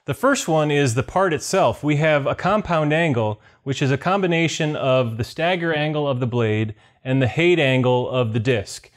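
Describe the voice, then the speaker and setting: A man in his forties with a voice pitched a little above average. He presents a technical lesson in a methodical, explanatory way, in the style of an executive walking viewers through a process. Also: normal speed, hardly any pauses. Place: indoor video studio with whiteboard.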